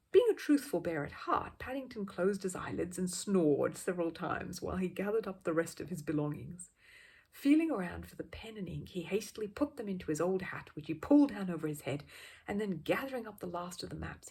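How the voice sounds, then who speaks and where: Very husky, expressive woman storyteller. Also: on the low side, fairly quick. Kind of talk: expressive children's audiobook narration.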